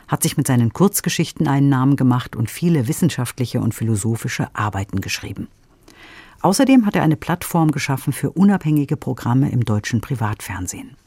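A man's voice: mid-range voice, dark tone, no room sound. A man hosting a radio review programme, presenting scripted introductions and questions in an orderly, informative way. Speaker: radio host. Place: dry studio.

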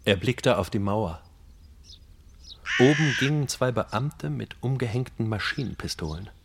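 A man's voice: mid-range voice, lively intonation, a little slow, noisy background. An actor playing a brooding, intense male narrator of a prisoner's inner life in a literary radio drama. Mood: brooding, hushed.

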